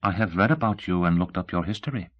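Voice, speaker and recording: A male narrator voicing a candid, shrewd young woman in her early twenties who speaks softly and asks pointed questions in an audiobook. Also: low voice, fast talker, muffled recording, lightly acted. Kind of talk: audiobook character voice.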